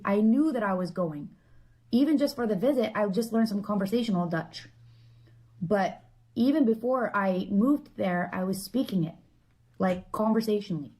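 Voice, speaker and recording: A woman giving a casual, explanatory monologue, earnest and opinionated, often halting and leaning on filler words. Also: medium pitch, animated, a little mellow, rough recording.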